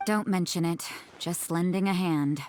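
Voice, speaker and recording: A woman voicing an aloof, blunt young nun in an anime game, world-weary and deadpan. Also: lowish voice, unhurried, slight background noise, natural voice. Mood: cool. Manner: deadpan, detached.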